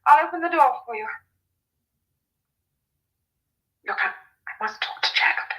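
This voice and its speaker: Bright-voiced, fretful young woman in radio drama. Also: very high-pitched, animated, fast talker, low-quality recording. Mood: urgent. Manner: earnest, emphatic, fretful. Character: anxious, protective young farmer's daughter sheltering a fugitive. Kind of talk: vintage radio drama acting.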